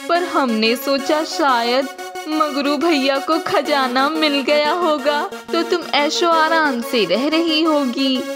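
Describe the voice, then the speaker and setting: A woman's voice: high voice, slow, over music, pitched above the performer's own voice. A woman voicing a gossipy village woman who teases with false sweetness in a cartoon story. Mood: mocking. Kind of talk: Hindi moral-story cartoon dub.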